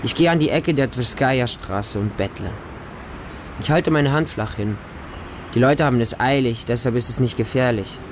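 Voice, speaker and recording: An actor playing a homeless street child, narrating a radio drama in simple, intimate short sentences. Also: male voice, mid-range voice, noisy background, natural voice. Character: homeless Moscow street child who befriends stray dogs. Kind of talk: first-person radio drama monologue.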